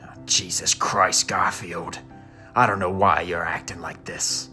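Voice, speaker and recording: An actor playing a menacing, exasperated gang enforcer, streetwise and profane, in an audio drama. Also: male voice, low-pitched, steady tone, a little slow, sharp tone, soft background music, exaggerated voice. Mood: coolly threatening. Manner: threatening, persuasive, streetwise.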